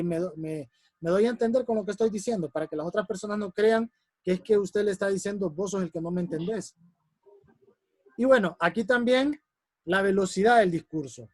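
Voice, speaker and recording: A man with a strong voice teaching a public speaking workshop, animated and repeating examples for emphasis. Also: high voice, no echo. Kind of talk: workshop lesson. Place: classroom or online lesson.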